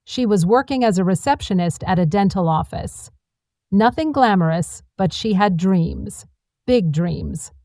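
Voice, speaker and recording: A woman narrates a scripted family drama in the first person. Her voice sits at a middle pitch for a woman, and the recording is very dry, with no room around it. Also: animated, a little slow, mellow, dry recording.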